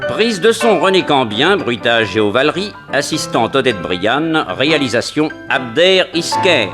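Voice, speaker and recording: A man's voice: mid-range voice, big pitch swings, a little slow. A man reading radio serial credits as an announcer, in a formal, declamatory manner. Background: music.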